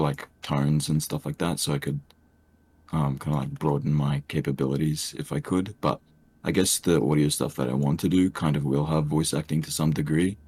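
Very deep soft-spoken young man. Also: slightly rough. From Australia. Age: young adult. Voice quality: soft soothing voice. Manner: hesitant, many fillers. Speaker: streamer guest. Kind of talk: casual podcast chat.

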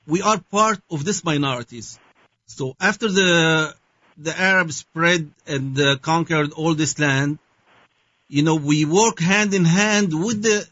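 A man from Syria speaks with a Syrian Arabic accent, his voice high and bright. He answers in halting bursts, stopping to search for words. The sound is dry and a little dull. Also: no echo. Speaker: guest. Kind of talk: interview answers.

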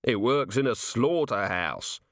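A man's voice: mid-range voice, expressive, a little slow, no echo, studio quality. Man voicing a curt, cynical police commander. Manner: curt, sceptical. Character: cynical, weary, gruff male police commander. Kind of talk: audiobook character voice.

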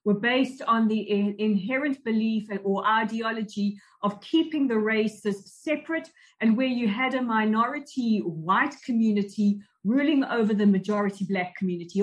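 South african woman, higher voice, talking slow and reflective about growing up, lots of restarts. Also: poor audio. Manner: measured, reflective, with frequent restarts. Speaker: guest. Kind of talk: personal recollection in an interview.

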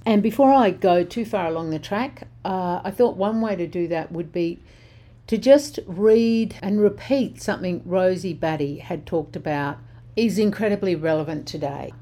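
A woman's voice: medium pitch, mellow. An older woman, host of a social justice talk, speaking bluntly and passionately while she is losing her voice. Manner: impassioned, blunt, conversational. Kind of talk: spoken commentary on social justice.

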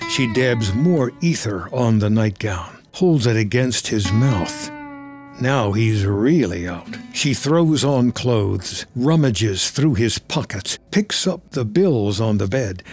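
A man's voice: mid-range voice, lively intonation, takes it slowly, over music. A man giving scripted, present-tense narration of a film story as its narrator.

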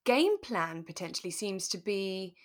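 A woman co-hosting a relaxed discussion podcast, talking in a thoughtful, tentative, explanatory way. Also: medium pitch, measured pace. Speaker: podcast co-host. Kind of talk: relaxed radio drama discussion chat.

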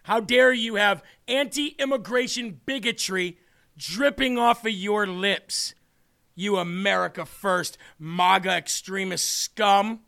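A man hosting a political commentary show, mocking and sarcastic. His voice sits high and bright with a gravelly edge. He talks in a forceful, tense way. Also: slow pace. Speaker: show host.

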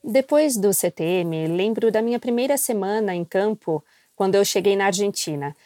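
A woman's voice: mid-range voice, moderate pace, mellow, no echo. Dry-voiced woman telling her story. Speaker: missionary guest. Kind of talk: personal storytelling.

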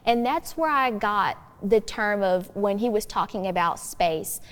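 A woman's voice: medium pitch, expressive, a little slow. A young woman talks as a podcast guest in an earnest, conversational way. She leans on key phrases and stretches them out. Her voice carries a long reverb, as if recorded in a hall. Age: young adult.

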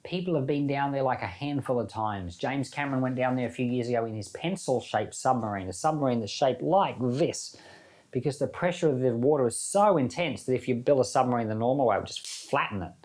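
A man's voice: mid-range voice, fairly quick, darkish tone. A man giving an informal sermon as a Bible teacher, reading scripture and commenting in a conversational way with rhetorical questions.

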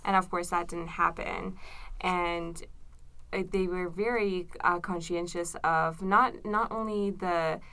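Young woman from Iran, interviewee; fairly low, gravelly, bright tone, hesitant answers. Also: fairly even intonation, slow pace. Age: young adult. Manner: thoughtful, many fillers and restarts. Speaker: graduate student, organizer.

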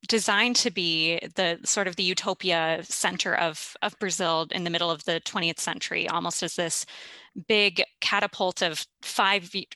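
Bright-voiced woman from Minnesota. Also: fairly low pitch, steady tone, normal speed, no echo. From Minnesota, USA. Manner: thoughtful, articulate, with fillers. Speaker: guest, classical singer. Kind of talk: casual conversation.

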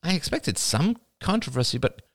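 A woman's voice: sits low, big pitch swings, moderate pace, no room sound, clean studio sound, pitched above the performer's own voice, heavily acted. A man voicing an anxious young woman writer who stammers and gets defensive. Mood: shaken.

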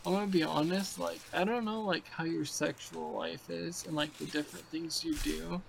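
A man's voice: fairly high pitch, steady tone, moderate pace, vocal fry, low-quality recording. A man in his twenties in crude, rambling casual chat on a video call, heavy with fillers and profanity. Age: 20s. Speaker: podcast co-host.

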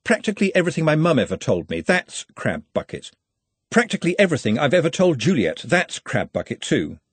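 A man's voice: fairly high pitch, very animated, a little fast, breathy edge, no echo, pitched above the performer's own voice. A man voicing a practical, guarded working woman, a cook, in an audiobook. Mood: musing. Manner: firm, plain-spoken.

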